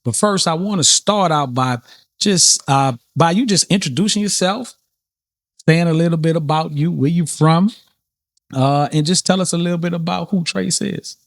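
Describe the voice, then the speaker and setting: A man with a New Orleans accent hosting a podcast, talking in a relaxed, rambling way with many fillers and restarts. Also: fairly high pitch, moderate pace, creaky, no room sound, studio quality. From New Orleans, USA. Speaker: podcast host. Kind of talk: casual interview chat.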